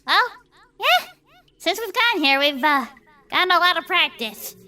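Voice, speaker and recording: A woman's voice: very high voice, sing-song, moderate pace, bright, forceful tone, full character voice. A woman voicing a plucky young female adventurer in a tabletop roleplay, with a casual, offhand manner.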